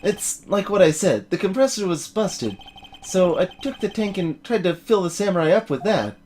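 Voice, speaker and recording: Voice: mid-range voice, very animated, moderate pace, a little mellow, a little hiss or hum, close to an everyday voice. A voice actor playing a flustered, evasive young man in video game dialogue, stammering and defensive. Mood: defensive, reluctant. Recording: game music behind the voice.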